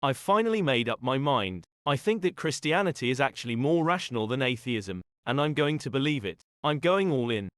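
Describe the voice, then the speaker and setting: A man playing an earnest, reasonable young husband in an audio drama, speaking in a measured, thoughtful way. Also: medium pitch, expressive, fairly bright tone, no room sound, clean studio sound, natural voice. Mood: resolved.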